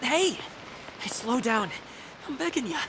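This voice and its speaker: A woman's voice: a little high, very animated, a little slow, very noisy. A woman playing a chatty, easygoing young guide in a video game, with a breezy, folksy way of talking. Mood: pleading. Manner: breezy, talkative, folksy casual phrasing. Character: chatty, easygoing young local guide, awkward around family. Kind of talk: video game character dialogue.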